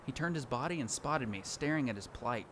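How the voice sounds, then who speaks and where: A man's voice: medium pitch, animated, brisk, fairly bright tone, slight background noise, lightly acted. A man playing a lone apocalypse survivor who tells his story in earnest, dramatic first-person narration for an audio drama. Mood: reflective. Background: music.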